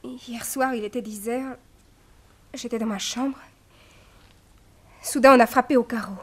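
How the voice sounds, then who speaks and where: A woman's voice: fairly high pitch, steady tone, a little slow. An actress playing a young woman whose father has just been killed, in an emotional, breathless manner, in an old radio drama. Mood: grieving. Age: young adult.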